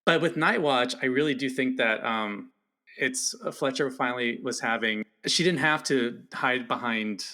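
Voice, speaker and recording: Bright-voiced man, film critic guest. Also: medium pitch, normal speed. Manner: agreeable, stammering restarts. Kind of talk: casual film discussion.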